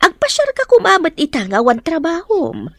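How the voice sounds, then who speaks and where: A woman's voice: extremely high pitch, huge pitch swings, normal speed, fairly bright tone, no room sound, exaggerated voice. A woman playing a caring, nudging middle-aged mother in an Ilocano radio-style drama, with a coaxing manner and an Ilocano Filipino accent.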